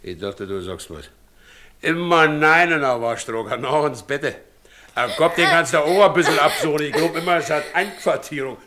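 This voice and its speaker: An actor playing a good-natured but commanding village husband in a German radio play, plain-spoken and in Silesian dialect. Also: male voice, a little high, lively intonation, normal speed, pushed and bright, a little hiss or hum. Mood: brisk, instructing. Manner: plain-spoken, directing others.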